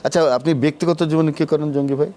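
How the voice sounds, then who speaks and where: A man's voice: a little high, steady tone, fast talker, dark tone. A man with a Bangladeshi accent hosting a conversational interview, prompting and echoing back what he hears. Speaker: interview host, poet.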